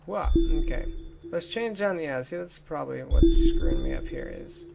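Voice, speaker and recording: A man's voice: a little high, huge pitch swings, normal speed, gravelly. A young man giving casual let's play commentary, chatty and goofy with playful exclamations. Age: young adult. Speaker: gaming YouTuber. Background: game music.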